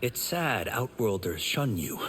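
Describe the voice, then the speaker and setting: A man's voice: lowish voice, sing-song, unhurried, a bit bright, slight background noise. An actor playing a modest, earnest male hero in a fighting game, speaking politely. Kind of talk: video game fight intro dialogue.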